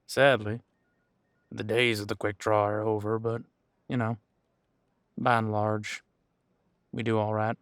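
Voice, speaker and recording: Man acting as easygoing veteran male cop, audio drama, low-pitched for everyday talk yet natural, smooth clear voice, candid, chatty, dry close recording. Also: level tone, fairly bright tone, no echo. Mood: friendly, briefing. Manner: casual, candid, chatty, with thoughtful pauses. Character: easygoing veteran male supernatural police officer showing newcomer around. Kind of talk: audio roleplay acting, one-sided conversation.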